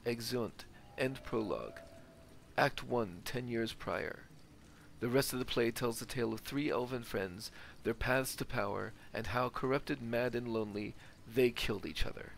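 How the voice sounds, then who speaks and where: A man's voice: medium pitch, moderate pace, creaky, a little hiss or hum, low-quality recording. A man hosting a gaming video, giving playthrough commentary and reading in-game text aloud. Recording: faint game ambience behind.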